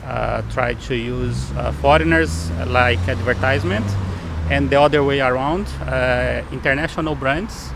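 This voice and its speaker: A man answering street interview questions in a halting way, with many hesitations. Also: medium pitch, creaky. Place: city street outdoors. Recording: street interview with loud traffic.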